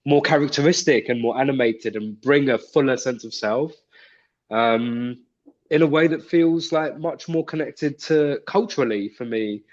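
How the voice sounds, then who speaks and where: A man speaks at a middling pitch through dull, lo-fi call audio. He is thoughtful and hesitant, filling pauses with ums and uhs as he gathers his words. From East End of London. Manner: hesitant, thoughtful, many fillers. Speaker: guest. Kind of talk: reflective conversation.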